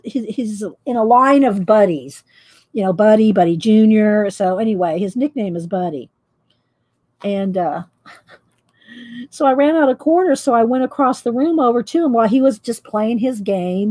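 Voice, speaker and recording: A woman, chatting loudly while she paints and tells stories on her coloring livestream, laughing often and answering viewers. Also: mid-range voice, moderate pace. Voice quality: loud voice. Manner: chatty storyteller, laughs often, reads live chat. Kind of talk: casual chat while painting. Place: home craft table, indoors.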